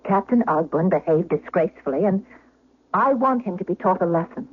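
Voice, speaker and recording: Coaxing Duchess, thin radio sound. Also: female voice, lowish voice, animated, fairly quick, husky. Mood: coaxing, pleading. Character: imperious, pleading aristocratic wife, a Duchess. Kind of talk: old-time radio drama acting. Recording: thin telephone-like vintage radio broadcast.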